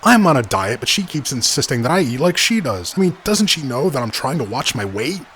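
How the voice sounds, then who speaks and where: A man's voice: mid-range voice, big pitch swings, a little fast, natural voice. Guy as chatty wrestling commentator, normal mid voice, scripted cartoon play by play, noisy audio. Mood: earnest, narrating. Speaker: match commentator.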